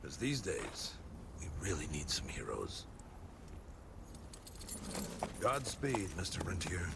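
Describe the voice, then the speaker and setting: A kindly older priest of the frontier, speaks in a lowish voice with wry, devout patience. His delivery is gentle and preacherly, as in a game cutscene, over clear background ambience. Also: huge pitch swings, heavy background noise, full character voice. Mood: solemn. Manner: gentle, folksy, unhurried, preacherly. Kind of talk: video game cutscene acting.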